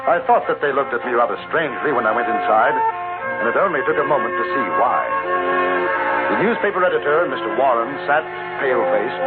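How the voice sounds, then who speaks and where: Actor as polite English correspondent on tinny old radio. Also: male voice, mid-range voice, lightly acted. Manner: polite, understated, precise. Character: cool, wry English newspaper correspondent in the Old West. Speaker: lead actor and narrator. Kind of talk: 1950s radio western acting and narration. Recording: tinny 1950s radio, music behind.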